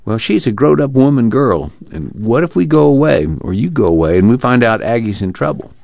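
A man voicing a poor, proud, worried rural father with halting, folksy speech in a dramatized reading. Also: mid-range voice, very animated, vocal fry, darkish tone, dry recording, pitched above the performer's own voice. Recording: dull, muffled audio.

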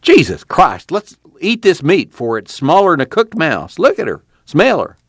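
A man voicing a gruff, hungry young man with a blunt, impatient way of talking. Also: mid-range voice, animated, moderate pace, no echo, studio quality, voice pushed up, lightly acted.

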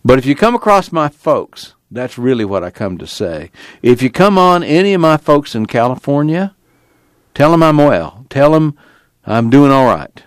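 A man voicing a lonely, resigned country drifter in an audiobook, wistful and quietly stubborn, with folksy rural dialect. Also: mid-range voice, big pitch swings, normal speed, dry recording, pitched above the performer's own voice, lightly acted.

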